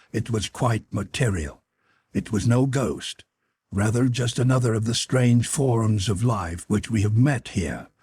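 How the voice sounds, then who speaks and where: A man voicing a cool, authoritative expedition leader in an audiobook, measured and matter-of-fact. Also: fairly low pitch, darkish tone, slight background noise. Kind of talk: audiobook character voice.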